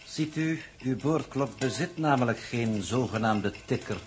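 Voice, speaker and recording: An actor playing a dry, pedantic mission coordinator in a 1960s Dutch radio drama, speaking formally and precisely with a Netherlands accent. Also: male voice, mid-range voice, expressive, measured pace, natural voice. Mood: deadpan. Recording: noisy 1960s radio recording.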